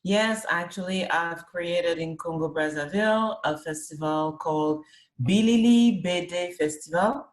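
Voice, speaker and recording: A woman from Cameroon, a comics artist and festival organizer, answering interview questions over a video call, unhurried, often rephrasing as she thinks. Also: on the low side. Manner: speaks slowly and searchingly, with fillers and rephrasing. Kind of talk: remote interview, thoughtful casual answers.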